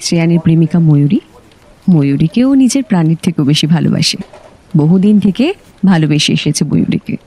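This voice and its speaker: Woman acting a teasing, animated office gossip. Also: sits low, fairly quick, breathy edge, full character voice. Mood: teasing, gossipy. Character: teasing senior female office colleague, chatty and nosy. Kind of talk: audio story acting.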